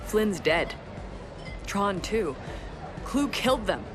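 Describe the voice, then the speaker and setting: A determined young ally, urgent and earnest, loud game music over her. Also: mid-range voice, big pitch swings, slow pace. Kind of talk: video game cutscene acting.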